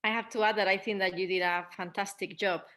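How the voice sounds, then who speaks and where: Woman moderating a Q&A, mid-pitched. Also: fairly even intonation, fast talker, pushed and bright. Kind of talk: moderating a panel discussion.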